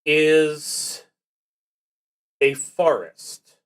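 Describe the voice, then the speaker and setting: A man hosting a solo roleplaying stream, musing to himself in a halting, deliberate way as he plays. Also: on the high side, clean tone. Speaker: host of a solo roleplaying livestream. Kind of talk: thinking aloud while playing a solo tabletop game.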